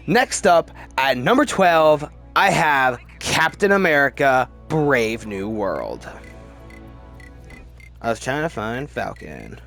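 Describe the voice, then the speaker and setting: A man hosting a movie ranking video with casual, opinionated commentary in an animated, rambling, halting way that often repeats words. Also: on the high side. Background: background music.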